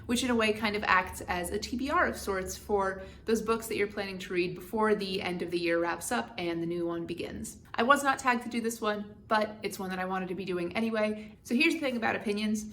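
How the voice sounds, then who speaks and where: A woman's voice: medium pitch, fairly quick, hardly any pauses, fairly bright tone, a little hiss or hum. A young adult woman hosting a book channel, talking through a book tag in a candid, opinionated and wry way. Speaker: BookTube channel host. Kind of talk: casual book tag vlog.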